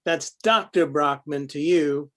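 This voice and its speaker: Man playing a snappish, higher-pitched grumpy researcher. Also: unhurried, fairly bright tone. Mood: defensive, curt. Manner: indignant, snappish. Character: jittery, grumpy, defensive male UFO researcher. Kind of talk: amateur readers-theater script reading.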